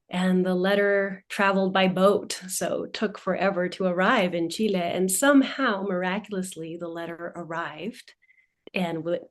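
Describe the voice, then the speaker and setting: A woman in her forties who is a coach and a guest on an interview, telling her family story in a thoughtful, measured way. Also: medium pitch. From United States. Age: 40s.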